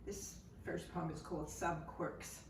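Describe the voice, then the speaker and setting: A woman's voice: low-pitched, level tone. Older woman, echoey and distant, reading poetry. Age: 70s. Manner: conversational, halting, matter-of-fact. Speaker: poet and author. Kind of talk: informal poetry reading with spoken asides. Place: room, remote home-style recording. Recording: echoey, noisy room, distant microphone.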